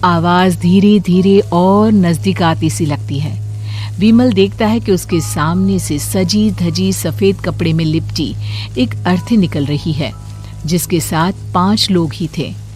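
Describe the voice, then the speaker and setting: Low-pitched woman narrating a horror story. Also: slow pace, a little mellow. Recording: clear music bed behind narration.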